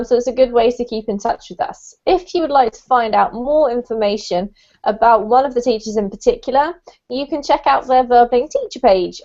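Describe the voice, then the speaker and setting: A woman's voice: on the high side, darkish tone. A woman teaching an online English class, chatty and friendly, with frequent ums, over a video call. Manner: chatty, many ums, laughs often. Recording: dull lo-fi video call audio.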